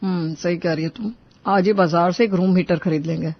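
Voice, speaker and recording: A woman's voice: on the low side, steady tone, brisk, dry recording. A woman playing a practical young man, placating, explaining, cartoon story, dry slightly dull recording. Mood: agreeable. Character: practical, reasonable young husband and son. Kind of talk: Hindi moral-story cartoon dubbing.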